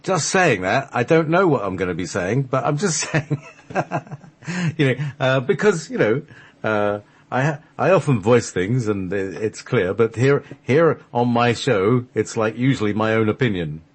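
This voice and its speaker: A man with a husky voice at an average pitch hosts a talk show. He chats casually and without a script, rambling and often stammering back to restart a phrase. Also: lively intonation, a little slow. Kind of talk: casual unscripted chat.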